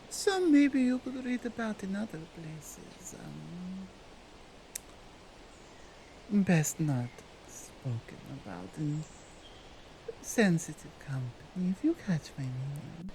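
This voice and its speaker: A woman playing a strong, dominant female Krampus in an ASMR roleplay, speaking in a deliberate, halting way with a Nordic accent. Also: sits low, lively intonation, darkish tone, slight background noise, heavily acted, poor audio. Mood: coy, suggestive. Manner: slow, deliberate, halting foreign-sounding phrasing. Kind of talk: ASMR roleplay monologue addressed to the listener.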